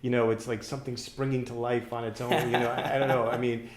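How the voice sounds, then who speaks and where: A male interviewer who talks fast and asks curious questions. He hesitates often with "like" and "I mean." The sound is roomy and echoey. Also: mid-range voice, little pitch movement. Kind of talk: casual interview.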